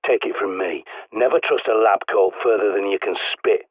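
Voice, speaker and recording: An actor playing a gruff, bossy British survivalist who guides a player by radio, speaking bluntly and swearing freely. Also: male voice, fairly low pitch, moderate pace, no echo. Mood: dry, scornful. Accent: British English. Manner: barking orders over a radio. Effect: radio filter. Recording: tinny dry radio-line sound.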